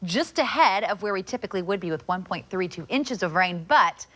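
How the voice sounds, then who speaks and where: A woman with an American accent talks through a live weather forecast. She presents as a TV meteorologist, with a voice of medium pitch. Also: lively intonation, a little fast, fairly bright tone.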